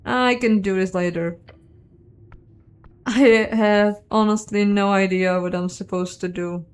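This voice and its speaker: A woman's voice: medium pitch, fairly even intonation, unhurried, smooth. A woman giving casual playthrough commentary on a game, musing aloud and questioning herself. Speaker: game streamer and narrator.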